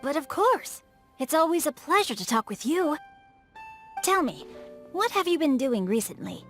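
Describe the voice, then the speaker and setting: A woman's voice: high voice, big pitch swings, moderate pace, faint music behind. A woman voicing a refined, bookish, playful boy with eloquent, formal phrasing in a video game. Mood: genteel, content. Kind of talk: video game character voice acting.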